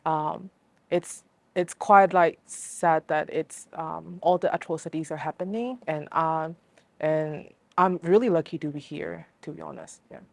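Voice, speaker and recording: A woman from Burma answers interview questions softly and hesitantly, filling her pauses with "uh" and "um". Her voice is slightly low, and it is recorded dry and close. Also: gravelly, dry recording. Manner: soft, hesitant, many fillers.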